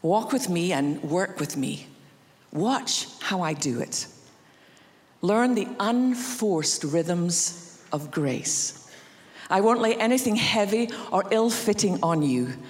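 A Scottish woman preaches from the stage of a large church, and her voice rings out with a long echo around the hall. She tells stories with gentle humor and acts out the lines of the people in them. Her pitch rises and falls in a lively way. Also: slow pace. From Scotland. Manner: warm anecdotal humor, acts out quotes. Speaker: guest preacher. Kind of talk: sermon storytelling.

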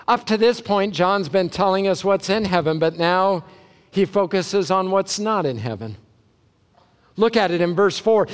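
Male pastor, high clear voice, emphatic sermon delivery, deliberate pauses. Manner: emphatic, with deliberate pauses and repeated short phrases. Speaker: pastor preaching. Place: large indoor hall, preaching to a congregation. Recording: reverberant large hall.

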